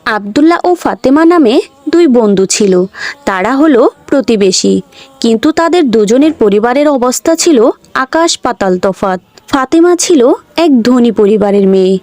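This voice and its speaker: Female storyteller: scripted children's tale, high-pitched, dry studio-like sound. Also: expressive, moderate pace, darkish tone, no room sound. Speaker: story narrator.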